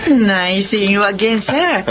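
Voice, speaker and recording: An actress playing a chatty, gushing society guest, playful and effusive, in a Filipino drama. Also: female voice, medium pitch, animated, slow pace. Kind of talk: Filipino melodrama acting.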